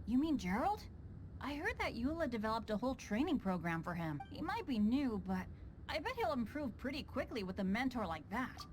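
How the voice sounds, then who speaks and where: Animated, earnest teenage boy adventurer. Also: a little high. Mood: helpful. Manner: earnest, youthful. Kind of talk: video game cutscene voice acting.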